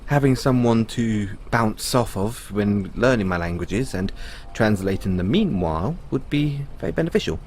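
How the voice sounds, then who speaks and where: A British man playing a kindly, chatty owner of a rescued android in an audio roleplay, speaking gently and hesitantly to the listener with small stammers. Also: lowish voice, lively intonation, normal speed, few pauses, a little hiss or hum, lightly acted. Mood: chatty, encouraging.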